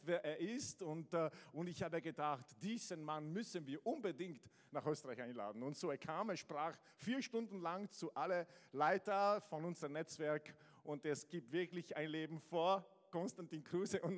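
A man from Southern Italy with an Italian accent, preaching to a congregation with energy, often stumbling and restarting. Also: high voice. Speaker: guest pastor. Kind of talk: lively sermon to a congregation. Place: church hall with congregation. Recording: large hall with long reverb.

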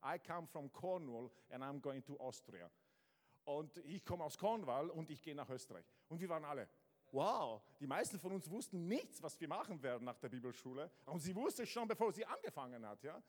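A man's voice: on the high side, big pitch swings, normal speed. A man from Southern Italy with an Italian accent, preaching to a congregation with energy, often stumbling and restarting. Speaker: guest pastor. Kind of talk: lively sermon to a congregation. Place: church hall with congregation. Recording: large hall with long reverb.

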